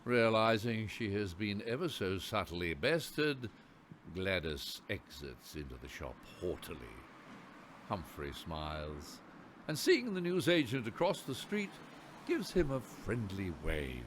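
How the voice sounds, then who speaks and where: A man narrating a radio play in a wry, theatrical storyteller's manner with deliberate emphasis. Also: lowish voice, sing-song, a little hiss or hum.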